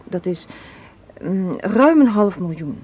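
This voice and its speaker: A woman's voice: lowish voice, very animated, slow pace, husky, exaggerated voice. Muffled recording of a sardonic young woman. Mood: scornful, firm. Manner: argumentative, sardonic, articulate. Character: assertive, cynical young woman arguing against a marriage proposal. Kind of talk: radio play acting. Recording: muffled, hissy old radio play recording.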